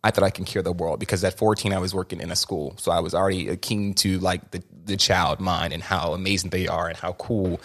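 Fast-talking young man, emphatic restarts. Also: low-pitched, fairly even intonation. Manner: rapid, emphatic, with many restarts and repeated words. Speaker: podcast host. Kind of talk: candid conversational storytelling.

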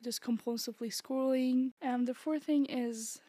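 A woman's voice: high-pitched, steady tone, moderate pace, dark tone, dry recording. Stuffy-nosed woman hosting a podcast. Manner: casual, rambling with fillers and self-corrections. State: stuffy nose from a cold. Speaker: podcast host. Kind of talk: solo podcast talk.

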